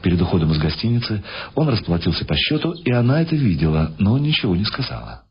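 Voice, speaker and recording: A man's voice: on the low side, very animated, fast, husky. A man reading scripted literary narration in the manner of a radio drama. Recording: dull lo-fi radio sound. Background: music behind the voice.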